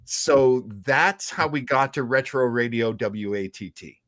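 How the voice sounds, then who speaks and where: A man co-hosting a casual livestream chat, talking in an excitable, exclamatory way. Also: mid-range voice, no echo. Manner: excitable, exclamatory, quick to laugh. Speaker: show co-host.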